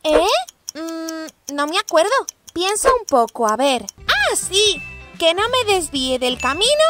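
A woman doing a squeaky singsong little girl. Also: sing-song, measured pace, heavily acted. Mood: cheerful. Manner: sing-song, squealing exclamations. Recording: children's music underneath.

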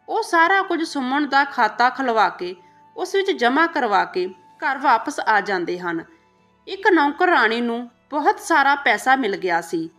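A woman's voice: sits high, fairly bright tone. A woman narrating an emotional moral story from a script as a storyteller.

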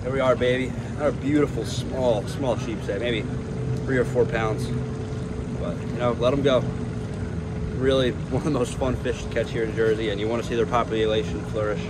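Young american guy doing a fishing vlog, slangy and excitable, noisy boat audio outside. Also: mid-range voice, brisk. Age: young adult. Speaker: fishing vlogger. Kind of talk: casual vlog commentary while fishing. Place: outdoors on a small boat under a bridge. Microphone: head-mounted action camera. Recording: noisy outdoor action-camera audio on boat.

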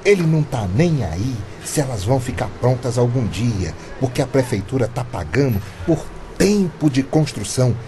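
A man's voice: mid-range voice, sing-song, moderate pace, hardly any pauses, noisy background. A man playing a shrewd, teasing Brazilian construction worker in a comic radio drama, with colloquial, exclamatory delivery. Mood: suspicious.

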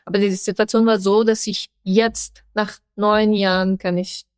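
A young woman from Afghanistan talks with an Afghan accent, her speech full of small hesitations and light laughs. Her pitch sits in an ordinary middle range. The sound is close and dry, with no room echo. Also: little pitch movement, a little slow, clean tone. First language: not German. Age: young adult. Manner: frequent hesitations and fillers, laughs often. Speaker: guest, human rights activist. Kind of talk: podcast interview.

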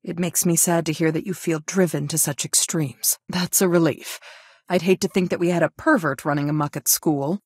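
Strict female school counselor for a game, lowish voice, formal and scolding, really dry sound. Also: fairly even intonation, breathy edge, dry recording. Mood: softened. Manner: formal, scolding, deliberate phrasing. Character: stern, reproachful female school guidance counselor. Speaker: video game voice actor. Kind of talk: scripted game character dialogue.

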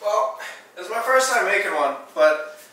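Goofy young man, high bright voice. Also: a little slow, distant mic. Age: young adult. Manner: goofy, silly, deadpan jokes. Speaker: cooking show co-host. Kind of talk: playful cooking tutorial. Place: small home kitchen, indoors. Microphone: camera mic from a distance. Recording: echoey kitchen from off-mic.

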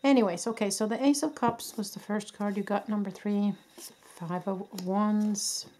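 Woman doing a tarot reading at home, voice a bit rough from a cold, soft and reassuring, lots of um and restarts. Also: mid-range voice, steady tone, a little slow, a little hiss or hum. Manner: gentle, reassuring, many fillers and restarts. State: voice worn from a cold. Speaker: tarot reader. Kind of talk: casual pick-a-card tarot reading. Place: indoors at a candlelit table. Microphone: camera above a table, hands only.

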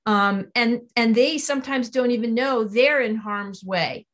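Earnest woman with a higher-pitched voice. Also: measured pace, fairly bright tone. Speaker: environmental advocate, interview guest. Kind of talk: earnest explanatory interview talk. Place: small home office, indoors. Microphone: video call on a computer.

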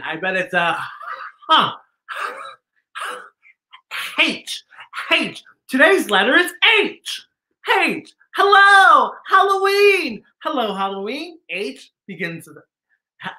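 A man's voice: sits high, bright, forceful tone. A middle-aged man, a drag performer hosting a children's show, talking in a playful, theatrical, exclamatory way through costume fangs that slightly impede the words. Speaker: children's story-time host, drag performer.

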